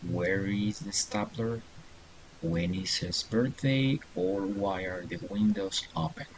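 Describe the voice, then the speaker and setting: A man's voice: on the low side, slow pace, slight background noise, rough recording. A middle-aged man teaching a beginner English class online, patiently encouraging students and reading answers aloud.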